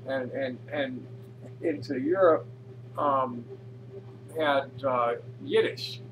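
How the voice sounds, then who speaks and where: A man's voice: medium pitch, noisy background. A man casually reading posts aloud and commenting on them, rambling with frequent restarts and fillers. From North America. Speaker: reader of social media posts.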